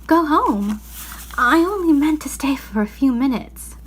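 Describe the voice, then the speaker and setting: Woman voicing an earnest little girl, high-pitched. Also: expressive, a little slow. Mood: apologetic, flustered. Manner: pleading, exclaiming. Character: Lucy, earnest, anxious, kind little girl.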